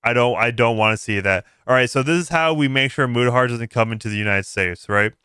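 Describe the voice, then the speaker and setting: A man hosting a casual livestream, reacting aloud in a halting way, repeating words and breaking off mid-sentence. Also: medium pitch, sharp tone, smooth, no room sound, studio quality. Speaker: livestream host. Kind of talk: casual reaction stream commentary.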